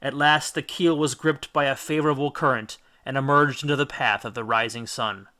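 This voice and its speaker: A man's voice: medium pitch, fairly even intonation, moderate pace, sharp tone. A man reading a children's audiobook as a dramatic storyteller. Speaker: audiobook reader. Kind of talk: dramatic scripted storytelling narration.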